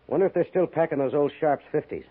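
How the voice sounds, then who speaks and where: An actor playing an earnest, weary frontier army major, explaining in a measured way in a 1950s western radio drama. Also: male voice, on the high side, lively intonation. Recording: thin, tinny 1950s radio recording.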